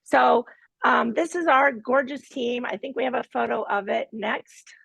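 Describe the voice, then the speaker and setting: A woman's voice: fairly high pitch, unhurried, gravelly, fairly bright tone, breathy edge, dry recording, rough recording. A woman hosting a live online master class from her home studio, with a playful, teasing manner. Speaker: host and team leader.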